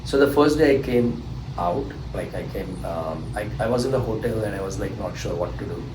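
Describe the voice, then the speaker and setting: A man's voice: fairly low pitch, animated, fairly quick, background noise. Indian man in an echoey room. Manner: rambling, hesitant with fillers and restarts. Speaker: SAT tutor, guest. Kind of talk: anecdotal storytelling in an interview. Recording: echoey room, distant mic, humming air conditioning.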